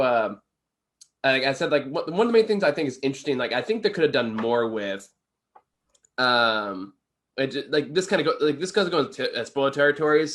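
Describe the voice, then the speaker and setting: A man's voice: medium pitch, a bit bright. A tired-sounding man giving a casual, unscripted film review in informal, sweary language. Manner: profane, informal.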